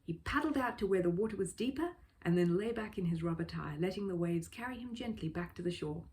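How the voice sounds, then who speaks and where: A woman reading a children's story aloud as an expressive narrator. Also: on the low side, fast. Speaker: storybook reader.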